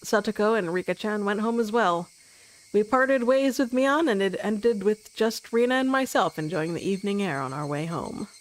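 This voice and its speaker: A woman streamer narrating a visual novel live, with expressive storytelling. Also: medium pitch, moderate pace, poor audio. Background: soft game music.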